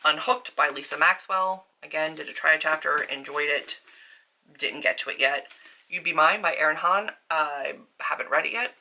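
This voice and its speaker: A woman speaks in a forward, bright voice that sits a little lower than average. She chats informally to her viewers like a seasoned vlogger, with slangy, self-deprecating asides. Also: moderate pace, a little hiss or hum, poor audio. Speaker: book vlogger. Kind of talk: casual chat to viewers.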